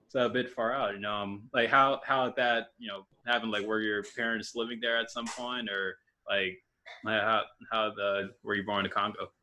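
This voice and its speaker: A young man hosting a podcast interview, casual and halting, full of fillers and restarts. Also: on the low side, level tone, bright, forceful tone. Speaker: podcast host.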